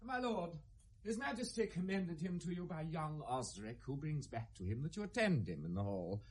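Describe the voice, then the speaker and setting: An actor with a British accent playing a formal, courteous lord who bears royal messages in a classical radio drama. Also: male voice, fairly high pitch, sing-song, normal speed, a little mellow. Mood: formal, deferential.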